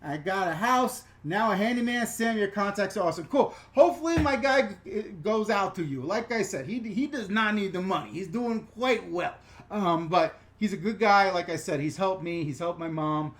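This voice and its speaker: Animated, profane New York-accented man. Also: high voice, normal speed, hardly any pauses. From New York/New Jersey. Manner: animated, profane, rambling with digressions and self-corrections. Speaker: channel host answering live chat questions. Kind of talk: casual live-stream Q&A.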